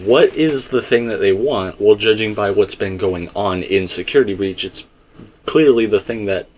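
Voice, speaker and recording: A man's voice: fairly low pitch, normal speed, a little mellow, a little hiss or hum. A man in a casual theory discussion, explaining his points emphatically and circling back to them. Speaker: video game theorist.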